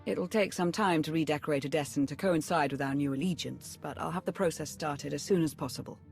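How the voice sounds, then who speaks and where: A woman's voice: low voice, fairly quick, gravelly, darkish tone, soft background music. An actress playing a cool, wry adviser in a video game, dry and polished in her delivery. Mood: wry, composed. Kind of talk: scripted video game acting.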